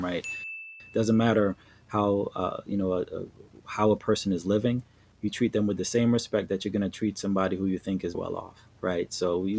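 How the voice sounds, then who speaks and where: A man from New York with a somewhat low voice talks easily as an interview guest. He is sincere and unhurried, stumbling over repeated words and tagging his points with quick check-ins. His delivery stays conversational rather than polished. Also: slight background noise. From New York, USA. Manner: relaxed and earnest, with repeated words and frequent "right?" tags. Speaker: podcast guest.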